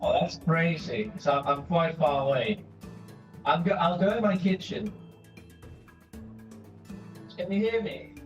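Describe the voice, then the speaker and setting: A man chatting enthusiastically over a voice call from his home, quick to laugh and full of fillers like "like". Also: on the high side, dull audio, faint music behind. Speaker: co-host on a voice call. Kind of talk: casual chat over voice call.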